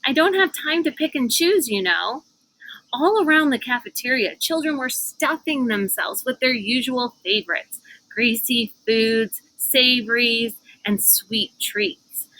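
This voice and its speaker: A woman's voice: fairly high pitch, bright, forceful tone, no echo, rough recording. A woman reading a children's book aloud outdoors on a porch, adding casual asides as she goes. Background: cicadas and air conditioner hum.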